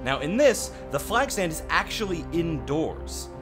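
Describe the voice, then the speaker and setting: A man's voice: a little high, very animated, a little slow. A man giving conversational, unscripted commentary on a video game update, explaining as he goes and correcting himself. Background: background music.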